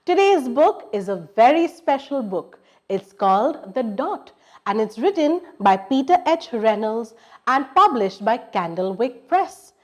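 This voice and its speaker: A woman's voice: a little high, sing-song, a little slow. A woman hosting a children's storytime, reading aloud expressively and explaining things for young listeners. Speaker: storytime host and narrator.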